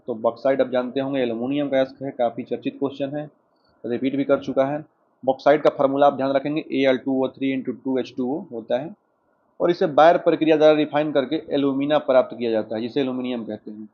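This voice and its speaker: A man with an Indian accent teaching an exam lesson, explaining questions and answers directly to students. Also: medium pitch. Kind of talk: lesson, working through quiz questions and explanations. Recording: dull lo-fi microphone sound.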